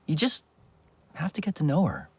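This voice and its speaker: A warm, chatty game builder; animated delivery, dry booth sound. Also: male voice, a little high, moderate pace, breathy edge, dry recording, heavily acted. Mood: fond. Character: friendly male construction worker, chatty and warm about wood. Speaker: builder who left the corporation.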